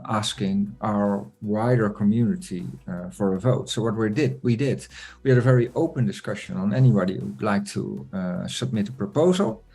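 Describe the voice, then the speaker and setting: A man hosting an online community meeting, talking in a relaxed, chatty way with many fillers. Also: on the low side. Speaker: meeting host.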